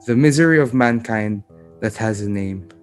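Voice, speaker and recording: A man's voice: on the low side, normal speed, darkish tone, faint music behind, lightly acted. A man playing a grave messenger recounting horrors in a dramatic reading of Greek tragedy. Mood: grave, mournful.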